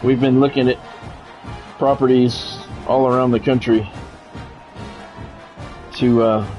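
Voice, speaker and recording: A man's voice: mid-range voice, fairly even intonation, takes it slowly, a little mellow, soft background music, rough recording. A middle-aged American man with a blunt, grumbling manner, switching between casual profane chat and sports play-by-play on a livestream. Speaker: game streamer and sports play-by-play announcer.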